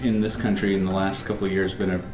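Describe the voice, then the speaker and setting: Man, thirties, low voice, easygoing chat, echoey cellar, music behind. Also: level tone, quick pace. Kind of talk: casual conversation. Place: wine cellar room.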